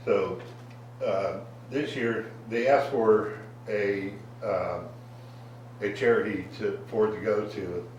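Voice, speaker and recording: A man's voice: on the low side, fairly even intonation, slow pace, dull audio, slight background noise. A man from a car club presenting a donation at a council meeting, speaking hesitantly with many fillers. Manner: hesitant, full of fillers and restarts. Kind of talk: public remarks at a meeting. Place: council chamber. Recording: very echoey room, distant mic.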